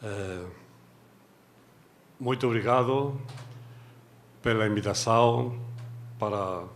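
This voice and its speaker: A Spanish man in middle age gives a formal lecture at a deliberate, slow pace. His delivery is courteous and measured, with frequent pauses as he builds each point. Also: fairly low pitch. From Spain. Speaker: guest lecturer, judge. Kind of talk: formal academic talk.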